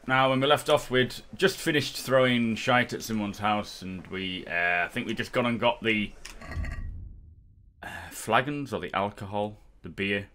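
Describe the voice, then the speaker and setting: A man with a fairly low voice and a bright, forceful edge hosts a gaming playthrough. His commentary is casual and conversational, with a slightly tipsy looseness. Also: measured pace, a little hiss or hum. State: slightly drunk, self-reported. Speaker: gaming video host.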